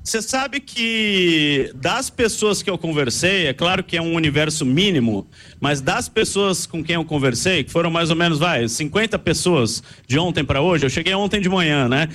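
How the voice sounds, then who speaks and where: A man with a high voice works the crowd outside a stadium as a live radio reporter. He talks in an easy, chatty way. His voice is recorded outdoors on a hand mic. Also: animated, a bit bright, slight background noise. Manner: chatty, switches to slow careful English. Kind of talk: live street interviews.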